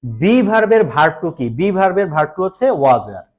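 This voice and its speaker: A man's voice: fairly high pitch, big pitch swings, fairly quick. A man teaching an online English grammar class for exam preparation. He explains patiently, repeats key points and puts questions to his students. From Bangladesh.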